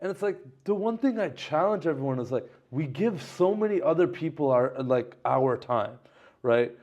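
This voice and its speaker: Casual male host, late twenties, chatty and excitable, light upper register. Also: fairly high pitch, moderate pace. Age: 20s. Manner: energetic, loose, heavy use of "like". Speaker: podcast host. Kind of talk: casual conversation.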